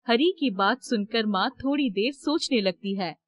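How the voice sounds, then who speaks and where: A woman's voice: fairly high pitch, animated, normal speed. A woman reading scripted narration for a children's moral story.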